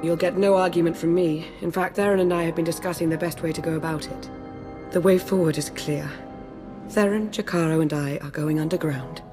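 An actress playing a composed woman agent who speaks in a calm, steady way. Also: low-pitched, a little fast, over music, natural voice.

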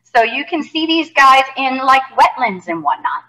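A middle-aged woman from Costa Rica, a zoologist giving a live animal talk, chatty and animated, with many fillers and restarts, on a headset mic indoors. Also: high voice, moderate pace, reverberant, rough recording. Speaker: zoologist educator. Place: indoor animal facility room.

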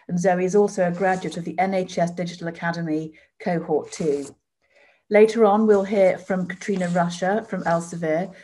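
A woman in her forties opens and chairs an online conference panel in a measured, formal way. Her voice sits somewhat low and sounds mellow and warm. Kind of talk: scripted conference introduction. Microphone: video call.

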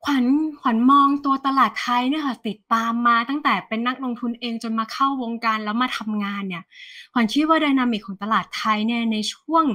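A woman in her thirties, an economist guest, explaining the market in a lively, conversational way over a video call. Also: on the high side, brisk. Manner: animated, refers to herself by nickname, mixes English terms. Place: plain indoor room, video call.

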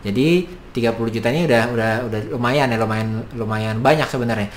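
A man in his thirties from Indonesia, a business coach explaining startup costs to camera in a small home studio, brisk and gesturing, with frequent fillers. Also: medium pitch, a little hiss or hum.

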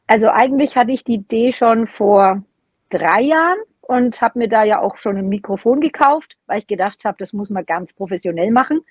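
A woman's voice: medium pitch, dry recording. A middle-aged woman speaking as a podcast guest, telling personal stories in a casual way. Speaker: podcast guest, knitting video creator.

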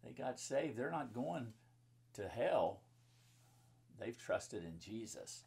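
Male pastor, 50s, mid-pitched with a husky edge, telling Bible stories to children in simple, explanatory language. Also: very animated, takes it slowly, darkish tone. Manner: simple child-directed phrasing with explanatory asides. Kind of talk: children's Bible storybook reading and talk. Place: book-lined room indoors.

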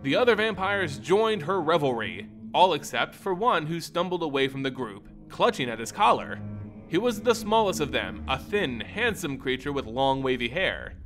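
Male storyteller for scripted fantasy. Expressive, dramatic, a little higher-voiced, lively intonation. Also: normal speed, faint music behind. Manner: theatrical, expressive.

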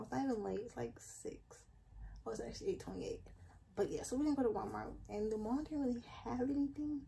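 A woman's voice: a little high, creaky, warm tone. Chatty young woman vlogging on a phone. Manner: chatty, rambling with fillers. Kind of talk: casual shopping vlog. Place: shopping mall, then bedroom at home. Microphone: handheld phone camera. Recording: noisy phone recording.

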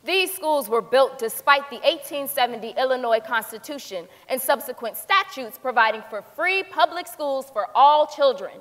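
A woman's voice: high-pitched, animated, moderate pace, few pauses, fairly bright tone. A woman reading scripted dramatic narration on stage. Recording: echoing theatre stage.

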